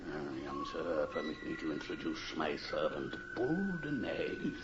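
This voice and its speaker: An actor playing a monstrous fat old gentleman in a radio horror drama, gloating and theatrical, muttering and chuckling. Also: a bass voice, sing-song, unhurried, music in the background, exaggerated voice. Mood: sinister, grandiose. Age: older. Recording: muffled, noisy old radio broadcast recording.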